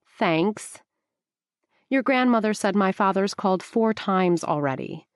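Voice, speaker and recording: A woman reading the part of an earnest young woman who stubbornly defends her choices, her voice lifted a little higher. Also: lively intonation, a little slow, no echo, clean studio sound, lightly acted. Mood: defensive.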